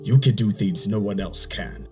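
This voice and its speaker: Guy doing bossy robot officer, really low voice, humorless and reproachful, loud music behind. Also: normal speed, lightly acted. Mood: stern, disappointed. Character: stern, controlling male robot military strategist. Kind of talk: motion comic voice acting.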